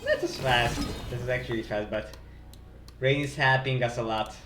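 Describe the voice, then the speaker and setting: Halting man streaming in a roomy space. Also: mid-range voice, steady tone. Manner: halting, repeats phrases as he thinks aloud. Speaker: game streamer. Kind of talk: casual live gameplay commentary. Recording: roomy sound with game music. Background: video game music.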